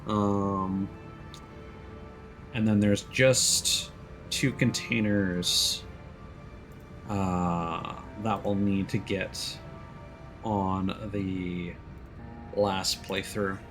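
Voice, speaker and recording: A man's voice: low-pitched, fairly even intonation, very slow, long pauses. Guy streaming a game, casual running commentary, faint music behind him. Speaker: streamer playing a video game. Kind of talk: casual let's play commentary.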